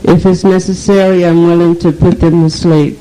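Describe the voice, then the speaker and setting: A woman answering hesitantly and slowly at a meeting, with many fillers, on an old archival tape. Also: on the low side, little pitch movement, dark tone, rough recording.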